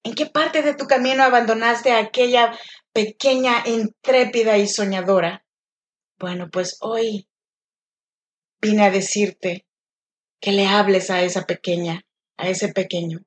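Gentle Mexican woman podcast host. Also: mid-range voice, fairly even intonation, unhurried, pauses often. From Oaxaca, Mexico. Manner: gentle, encouraging, speaks directly to the listener. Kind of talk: solo podcast monologue. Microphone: home podcast mic.

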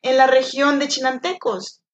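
A woman from Oaxaca with a Mexican accent hosting a solo podcast, speaking gently and encouragingly straight to the listener. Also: high voice, fairly even intonation, moderate pace, dull audio. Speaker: podcast host. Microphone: home podcast mic.

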